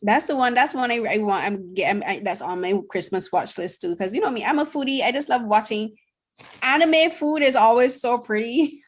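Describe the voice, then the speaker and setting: A woman's voice: fairly high pitch, expressive, moderate pace. A young woman from Barbados co-hosting a podcast, chatting casually about shows she watched, with lots of fillers and restarts. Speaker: podcast co-host.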